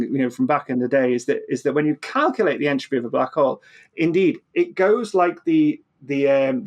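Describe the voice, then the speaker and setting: A man explaining physics conversationally as an interview guest, often repeating words and restarting mid-phrase. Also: medium pitch.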